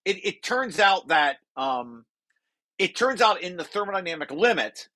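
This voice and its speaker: Bright-voiced man explaining technical material. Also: high voice, big pitch swings, a little slow. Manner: repeats and rephrases key phrases for emphasis. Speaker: presenter walking through a research paper draft. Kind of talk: informal technical explanation to colleagues.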